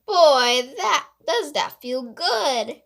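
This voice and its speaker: Woman acting out playful woman author, storybook character, fairly high voice, emphatic, mischievous, explanatory. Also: animated, extremely slow, creaky, fairly bright tone, heavily acted. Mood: relieved. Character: playful, mischievous woman mystery author confessing her scheme.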